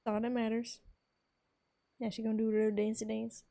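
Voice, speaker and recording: A woman giving casual, relaxed commentary as she plays through a game, reading along and reacting. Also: a little high, a little fast, gravelly. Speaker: game streamer.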